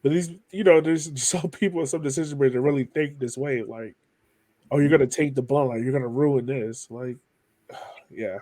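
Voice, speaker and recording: A man's voice: mid-range voice, moderate pace, dark tone. A man hosting a podcast in a casual conversational style, musing informally and building rhetorical questions. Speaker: podcast host.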